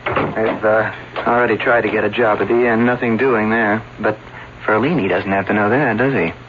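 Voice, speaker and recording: A man's voice: fairly low pitch, steady tone, moderate pace, lightly acted. An actor playing a persuasive young singer who is courting a married woman, in a radio drama. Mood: coaxing. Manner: coaxing, persuasive. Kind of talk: 1970s radio drama acting. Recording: dull old radio broadcast with faint hiss.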